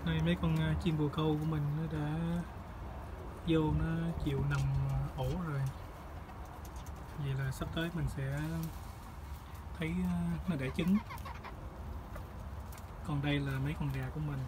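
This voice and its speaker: A man casually narrating a tour of his hillside poultry coop, relaxed and unhurried, with frequent hesitations. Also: fairly high pitch. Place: outdoor chicken and pigeon coop on a hillside. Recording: loud outdoor farmyard noise. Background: chickens clucking, roosters, pigeons.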